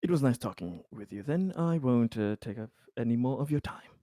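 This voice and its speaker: A man's voice: mid-range voice, expressive, a little fast, dark tone, no echo, studio quality. A man in a tabletop roleplaying game, speaking in character with formal wording broken up by frequent hesitations. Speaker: player. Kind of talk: in-character roleplay as a colony security officer.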